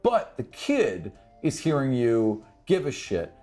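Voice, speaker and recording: A man narrating a tabletop fantasy game as game master, improvising in an animated way and repeating phrases for emphasis. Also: mid-range voice, slow pace, faint music behind.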